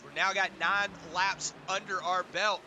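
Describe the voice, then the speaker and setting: Hoarse man calling a sim truck race. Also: sits high, unhurried, background noise. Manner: relaxed, rambling play-by-play with fillers. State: hoarse from a sinus infection. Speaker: race commentator. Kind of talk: play-by-play sim racing commentary.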